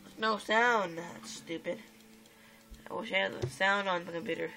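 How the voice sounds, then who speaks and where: A woman's voice: fairly low pitch, fairly even intonation, normal speed, bright, forceful tone, faint music behind, poor audio. A young girl who is sick, casually chatting as she plays a game at home, recorded on a phone filming the screen, rambling with lots of "um" and "like". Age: child or young teen. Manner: rambling, frequent "um" and "like", exclaims at the game. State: sick, voice a bit rough. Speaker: gameplay vlogger. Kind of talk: casual gameplay chat. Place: home room by a computer. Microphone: phone camera filming a screen.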